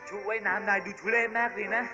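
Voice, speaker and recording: A man doing a comic fan dub of a cartoon, loud and crude, swearing between lines. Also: sits high, fairly even intonation, fast. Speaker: dubber of a cartoon. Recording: dull lo-fi sound with music.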